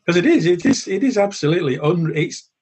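A man's voice: sits high, animated, brisk, a crowd in the background. A middle-aged man, chatting wryly and restarting his sentences on a gaming podcast. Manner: chatty, stumbling restarts, wry. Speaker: podcast co-host. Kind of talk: casual chat.

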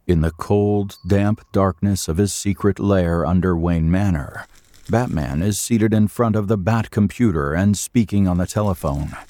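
A man's voice: low voice, a little slow, warm tone, no room sound. A man reading scripted third-person narration for an audio drama.

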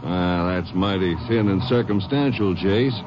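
An American actor playing a plain-spoken, doubtful Texas Ranger sidekick in a 1950s radio western. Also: male voice, sits low, unhurried. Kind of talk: 1950s radio western crime drama acting. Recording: dull, noisy old radio recording.

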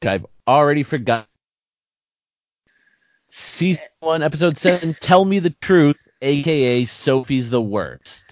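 A man co-hosting a podcast in casual chat, joking, animated, often restarting his sentences. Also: a little high, a little slow, no echo. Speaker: podcast co-host. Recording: dry, dull lo-fi sound.

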